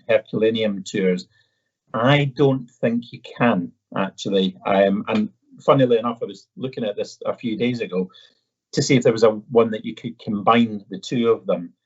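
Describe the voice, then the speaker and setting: A man with an Edinburgh Scottish accent presenting a casual live question-and-answer session, polite and conversational with a hesitant delivery. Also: lowish voice. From Edinburgh, Scotland. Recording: dull, slightly muffled audio.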